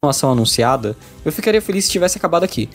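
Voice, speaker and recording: A man's voice: fairly high pitch, very animated, rapid-fire, gravelly. A man with a Brazilian accent reading a scripted video game review in an emphatic, opinionated way. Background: background music.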